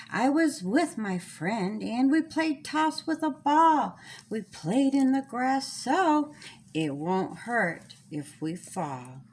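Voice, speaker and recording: A woman reading a children's storybook aloud in a deliberate, sing-song rhyming way with dramatic pauses, as the author. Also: on the high side, a little mellow.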